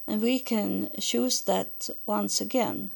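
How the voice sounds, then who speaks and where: A woman from Sweden with a Swedish accent, speaking English as a second language in a halting spiritual podcast monologue, searching for words. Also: mid-range voice, fairly even intonation, creaky, a little mellow. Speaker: podcast host relaying spiritual messages.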